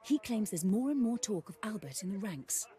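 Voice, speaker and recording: A woman's voice: mid-range voice, expressive, moderate pace, warm tone, natural voice. Actress as a genteel, wry older noblewoman. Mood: guarded, courteous. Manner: formal, polite, wryly dry. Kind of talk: video game character acting.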